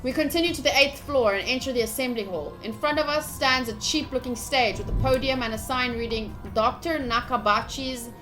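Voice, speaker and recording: A woman livestreaming a visual novel playthrough, reading the text aloud with excitable, playfully dramatic and casual commentary. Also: sits high, a little fast, few pauses, soft background music, poor audio. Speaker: streamer playing a visual novel.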